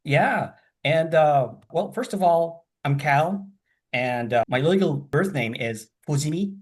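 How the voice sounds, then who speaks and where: A man's voice: fairly high pitch, lively intonation, slow. A middle-aged man on a video call, talking about himself casually and enthusiastically, rambling and repeating himself as he goes. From born in Japan, grew up in several countries across Asia and the Middle East. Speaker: student and hospitality professional. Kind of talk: casual video-call interview, telling life story.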